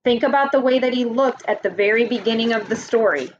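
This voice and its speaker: A woman's voice: on the high side, lo-fi sound. A woman teacher reading a picture book aloud to children and stopping to ask them questions in a patient way. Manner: slow, patient, asks questions to young listeners. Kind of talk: read-aloud story with class questions.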